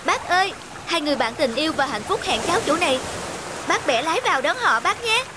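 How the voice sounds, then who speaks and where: An actor playing an eager, impatient young male traveller in an animated fable, earnest, calling out and pleading. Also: high voice, fast talker, background noise. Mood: excited. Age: young adult. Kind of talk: animated moral fable dubbing. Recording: loud music behind the voice.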